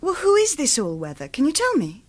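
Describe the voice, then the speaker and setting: Radio thriller female lead: a caring, anxious partner, high and animated, pressing earnestly for answers. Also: a little fast. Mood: troubled, questioning. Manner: earnest, pleading, pressing with questions. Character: anxious, caring, insistent girlfriend of a hunted man.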